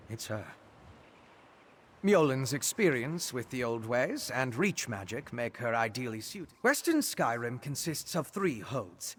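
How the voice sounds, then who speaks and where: Courteous, scholarly vampire investigator. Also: male voice, medium pitch, slightly rough. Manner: measured, articulate, analytical. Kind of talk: video game character dialogue.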